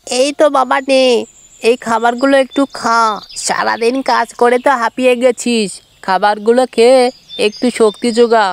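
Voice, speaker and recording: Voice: medium pitch, fairly even intonation, normal speed, creaky, smooth, voice pushed up, natural voice. A performer voicing an anxious, doting middle-aged village mother in a cartoon moral story. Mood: tender, caring.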